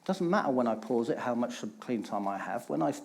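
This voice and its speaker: A man's voice: mid-range voice, lively intonation, fairly quick, gravelly. A middle-aged man, giving a candid personal talk on stage and stressing points through repetition. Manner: candid, emphatic storytelling with repeated phrases. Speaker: conference speaker.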